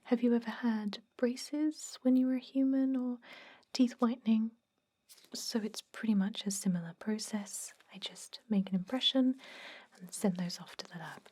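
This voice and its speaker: A woman playing a calm, attentive vampire dentist in an ASMR roleplay, speaking in a soft breathy whisper with gentle explanations. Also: a little high, lightly acted. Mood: calm, professional. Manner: slow, gentle, explanatory with pauses. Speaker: ASMR performer.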